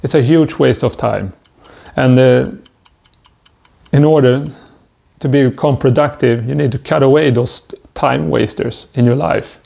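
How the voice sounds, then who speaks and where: Swedish-accented man, gravelly and mellow. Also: medium pitch, unhurried. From Sweden. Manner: hesitant, stumbling with frequent "uh" fillers. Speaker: vlogger. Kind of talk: self-help advice monologue.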